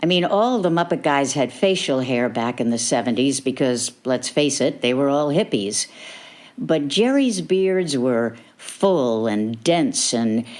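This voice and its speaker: Older woman, very low voice, fond measured recollection read aloud. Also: darkish tone. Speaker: guest reader. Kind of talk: read personal memory.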